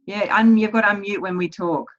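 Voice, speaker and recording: A woman hosting a casual group video call, upbeat and quick to praise each guest. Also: medium pitch, fairly even intonation. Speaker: host of a team video call. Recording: muffled video call audio.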